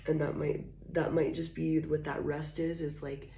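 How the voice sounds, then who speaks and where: A woman giving a casual tarot reading. She is hoarse from being sick and talks softly and slowly, with fillers. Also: sits low, little pitch movement. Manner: soft, slow and drawn-out, with scattered fillers. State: hoarse, recovering from illness, coughing. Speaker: tarot reader. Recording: echoey room, faint noise.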